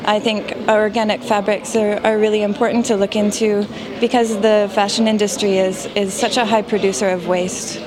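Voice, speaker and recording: A woman speaks with a medium pitch, working through her ideas slowly with plenty of pauses. Behind her a crowd chatters steadily across a busy trade fair hall. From Canada. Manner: thoughtful, hesitant with fillers. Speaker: fashion designer. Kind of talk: casual interview answers.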